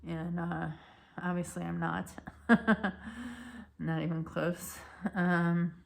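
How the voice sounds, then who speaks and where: Woman, 30s, hoarse voice cracking, low flat delivery, halting casual vlog storytelling. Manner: rambling, halting, many restarts and fillers. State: losing her voice, cracking. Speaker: vlogger.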